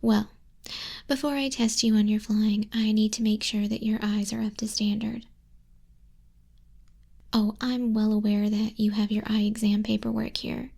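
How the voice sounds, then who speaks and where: A woman playing an exacting female griffin flying instructor in a hushed, breathy, measured ASMR roleplay, close to a binaural microphone. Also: mid-range voice, little pitch movement. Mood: exacting, composed. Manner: measured, unhurried, strict examiner tone. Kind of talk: soft-spoken, whispery ASMR roleplay.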